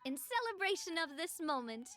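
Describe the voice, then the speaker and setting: A woman's voice: extremely high pitch, big pitch swings, moderate pace, sharp tone, slight background noise, exaggerated voice. A woman playing a gracious, upbeat young female leader in a video game, speaking expressively and politely. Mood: warm, celebratory. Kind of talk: video game character acting. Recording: faint background music.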